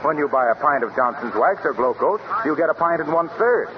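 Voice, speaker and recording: High-pitched 1930s radio announcer man. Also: lively intonation, fairly quick. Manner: polished, emphatic salesman delivery. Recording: noisy, muffled 1930s radio recording.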